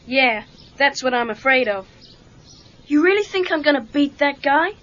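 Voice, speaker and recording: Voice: high-pitched, lively intonation, normal speed, bright, forceful tone. A performer playing a skeptical teenage boy who is learning karate, talking informally and with some sarcasm in an audio drama. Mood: sarcastic, worried. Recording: muffled lo-fi read-along cassette tape.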